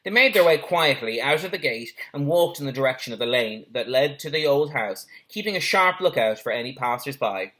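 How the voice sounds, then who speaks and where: A man's voice: medium pitch, expressive, fairly quick, few pauses, a bit bright, poor audio. A man, a teacher, reading a children's story aloud expressively with brief asides.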